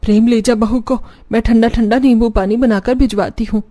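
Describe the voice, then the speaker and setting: A woman voicing a middle-aged mother-in-law in a cartoon story, brisk and no-nonsense, handing out orders in homely, scolding talk. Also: a little high, steady tone, warm tone, natural voice. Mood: fond, brisk. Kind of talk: Hindi cartoon story acting.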